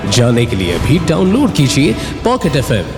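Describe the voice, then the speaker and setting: A man's voice: mid-range voice, huge pitch swings, normal speed. A man giving dramatic, scripted narration of an audio story. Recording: reverberant echo with music bed. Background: music.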